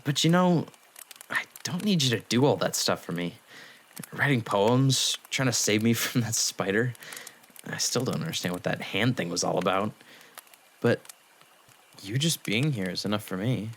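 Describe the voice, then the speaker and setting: A man playing a gruff but tender young half-demon in a fan audio drama, with a casual, halting, understated delivery. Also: lowish voice, expressive, fairly bright tone, a little hiss or hum. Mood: awkward, tender.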